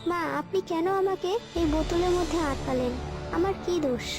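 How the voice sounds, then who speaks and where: Casting: sweet, grateful young witch wife for a cartoon, played by a woman, very high voice, loud music behind. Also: a little fast. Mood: pleading. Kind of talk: scripted cartoon dialogue.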